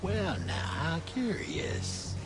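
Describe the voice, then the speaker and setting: An actor playing a mysterious, menacing male stranger in a video game, coldly formal and deliberate. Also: high-pitched, expressive, loud music behind the voice, exaggerated voice. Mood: sinister curiosity. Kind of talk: video game villain acting.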